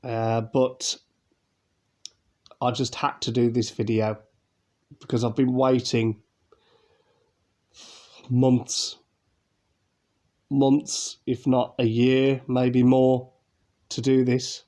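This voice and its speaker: A man's voice: mid-range voice, flat delivery, extremely slow, long pauses, mellow. Nasal man with a cold. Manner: chatty, rambling, many fillers and restarts. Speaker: YouTube unboxing host. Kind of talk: casual unboxing commentary.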